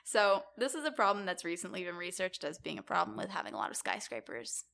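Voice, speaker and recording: A woman's voice: lowish voice, sing-song, fast talker, vocal fry, sharp tone. A woman co-hosting a podcast, explaining things in an informal, wryly joking chat style. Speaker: podcast co-host presenting the episode's topic.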